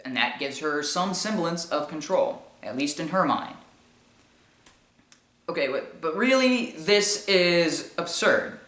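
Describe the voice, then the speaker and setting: A man with a high-pitched voice giving informal opinion commentary in an explanatory, argumentative way with emphatic repetition. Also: expressive, slow, a bit bright. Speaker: commentary show host. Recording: echoey room sound.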